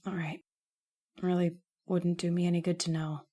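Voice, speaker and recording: A woman's voice: fairly low pitch, level tone, a little slow, warm tone. A woman playing an anxious, devoted young woman in an old-time radio horror drama, earnest and melodramatic. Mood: troubled, insistent.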